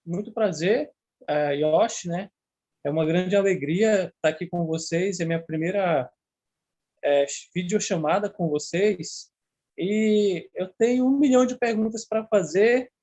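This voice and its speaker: Hesitant high-voiced Brazilian man on a call. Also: a little slow, a little mellow. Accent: Brazilian Portuguese. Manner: hesitant, with many fillers. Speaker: caller asking a question. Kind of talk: first-time caller on a video call. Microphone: video call at home.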